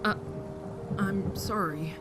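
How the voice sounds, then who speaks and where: A woman playing a gentle, earnest teenage boy in an audio drama, hesitant and polite. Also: mid-range voice, slow pace, over music, close to an everyday voice. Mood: hesitant, apologetic.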